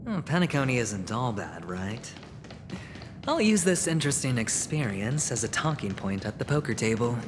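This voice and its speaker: A man playing a smug, scheming young gambler in a video game, teasing and dramatic, with hushed asides. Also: mid-range voice, big pitch swings, moderate pace, noisy background, lightly acted. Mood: playful, wistful. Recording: game music under the voice. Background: game music.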